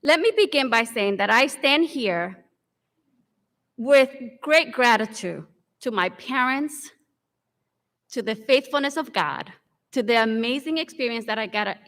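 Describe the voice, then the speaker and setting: A woman in her forties, from the Dominican Republic, giving an earnest convocation speech with measured, deliberate pauses. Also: on the high side, a bit bright. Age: 40s. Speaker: alumni speaker. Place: large hall. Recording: long hall reverb.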